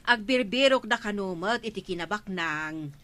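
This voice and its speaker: A woman's voice: mid-range voice, animated, moderate pace, fairly bright tone, no room sound, close to an everyday voice. An actress playing a village woman who passes on local news in a matter-of-fact way in a radio comedy drama. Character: village woman reporting gossip about treasure diggers.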